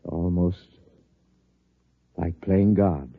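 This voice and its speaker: An actor playing a thoughtful, skeptical professor in 1950s radio drama style, formal and halting in his delivery. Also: low-pitched, vocal fry, heavily acted. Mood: grave, doubtful. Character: thoughtful, skeptical male professor, seasoned scientist. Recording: noisy, muffled 1950s radio recording.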